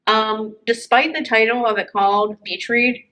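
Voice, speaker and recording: A woman's voice: on the high side, fairly even intonation, moderate pace, pushed and bright, rough recording. A woman hosting a book chat, talking informally and enthusiastically as she gives recommendations. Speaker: book show host.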